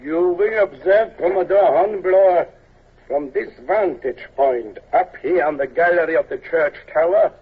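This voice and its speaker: A husky, lecturing Prussian colonel. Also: male voice, a little high, unhurried. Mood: measured. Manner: precise, lecturing, formal. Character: stiff formal Prussian male colonel, middle-aged. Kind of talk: 1940s radio acting. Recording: tinny lo-fi old radio recording.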